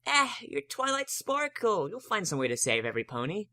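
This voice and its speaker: A man's voice: high voice, wildly swooping pitch, fairly quick, pushed and bright, no echo, clean studio sound, pitched above the performer's own voice, heavily acted. A man voicing an eager, cheeky young male baby dragon sidekick in a story reading, given to wisecracks and pleading. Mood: reassuring.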